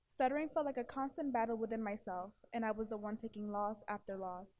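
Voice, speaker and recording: A woman's voice: a little high, fairly even intonation, quick pace, a little mellow. A woman in her early twenties with a stutter, earnestly delivering a prepared speech to an audience. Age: early 20s. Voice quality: stutter with blocks and repeated syllables. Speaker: award presenter giving a testimonial.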